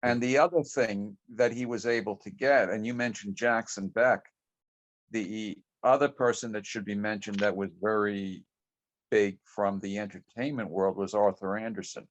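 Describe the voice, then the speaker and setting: Guy with a lowish voice chatting on zoom, kinda halting, muffled lo-fi sound. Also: fairly even intonation. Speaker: longtime convention committee member. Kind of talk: casual reminiscing in a group video meeting. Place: Zoom video call. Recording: dull lo-fi video call audio.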